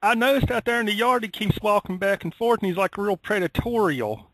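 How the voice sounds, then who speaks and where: A man voicing a naive, worried rural Southern US caller who rambles in a folksy, slow-witted way on a prank phone call. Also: high-pitched, animated, fairly bright tone, no echo. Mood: worried, baffled.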